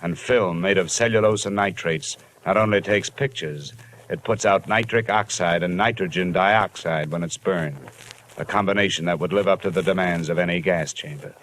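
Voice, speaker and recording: An actor playing a wry, hard-boiled insurance investigator in a mid-century radio detective drama. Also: male voice, deep voice, moderate pace, a bit bright. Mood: wry, narrating. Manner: sardonic, tough, wisecracking. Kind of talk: 1950s radio detective acting, first-person narration and dialogue. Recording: noisy vintage radio recording.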